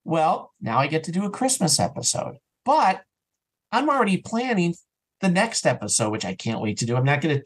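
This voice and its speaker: Man, fairly high-pitched voice, casual interview guest, enthusiastic, animated, rambling. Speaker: interview guest, audio drama writer and actor.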